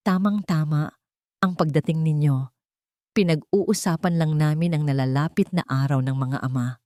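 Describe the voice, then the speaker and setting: Woman playing society mother, haughty, condescending, venomous sweetness, low-pitched, storybook drama. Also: moderate pace, warm tone, no room sound, clean studio sound, lightly acted. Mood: sweetly venomous. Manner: sweet but cutting, condescending.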